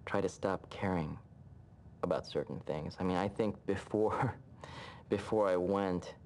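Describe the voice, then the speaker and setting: A man's voice: creaky, a little mellow. A young man talking candidly in a documentary interview as a war veteran. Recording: low rumbling background noise.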